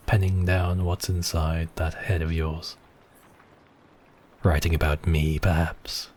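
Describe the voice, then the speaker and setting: A man acting as a suave, obsessive, mocking vampire count in an intimate ASMR roleplay, with silky, teasing, drawn-out phrasing. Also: low-pitched, warm tone, slightly rough, heavily acted. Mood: mock sympathy.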